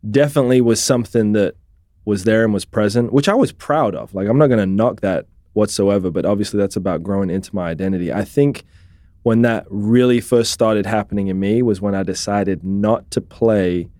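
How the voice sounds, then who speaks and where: A British man in his thirties, raised in the UK, telling his life story as an interview guest in a relaxed, reflective way. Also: fairly low pitch, brisk, no room sound. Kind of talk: conversational interview.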